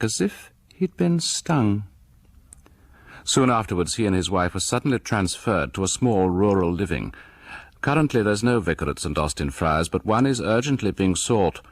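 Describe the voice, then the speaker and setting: A man hosting a horror anthology, delivering scripted narration in a deliberate, theatrical and ominous manner. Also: sits low, breathy edge, no echo.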